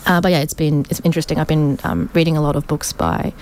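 Aussie woman guest on local radio, really low voice, chatty, lots of ums, bit of hiss. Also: fairly even intonation, fast talker, a little mellow. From Australia, Sydney. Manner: chatty and thoughtful, with many fillers. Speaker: studio guest. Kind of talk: casual radio interview. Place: community radio studio.

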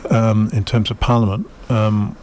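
A man's voice: on the low side, level tone, moderate pace, darkish tone, slight background noise. A man hosting a community radio show, chatting casually in a rambling, wry way with plenty of fillers.

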